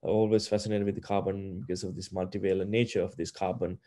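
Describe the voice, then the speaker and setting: A man's voice: deep voice, level tone, fast talker, warm tone. A man from Sri Lanka, a physics professor, explaining his research in a video-call interview, hesitant with many fillers and restarts. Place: wooden-walled room at home.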